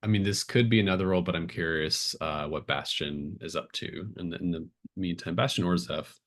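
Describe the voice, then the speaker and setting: A man's voice: sits low, brisk, fairly bright tone. A man acting as game master, casually narrating a tabletop game, encouraging and often thinking aloud.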